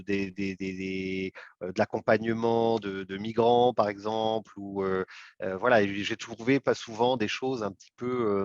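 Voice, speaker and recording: A man with a lowish voice talks off the cuff as a webinar guest, stopping and restarting often and filling gaps with hesitations. The sound is dry and slightly dull, like a video call. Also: no echo. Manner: halting, many fillers and restarts. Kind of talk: hesitant spontaneous talk.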